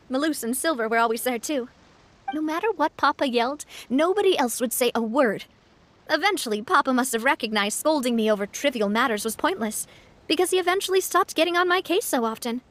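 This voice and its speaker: A woman voicing a cheerful, sincere young woman leader in a video game, speaking in a gentle, earnest, measured way. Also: high-pitched. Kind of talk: animated video game voice acting. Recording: soft music behind the voice. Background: soft game music.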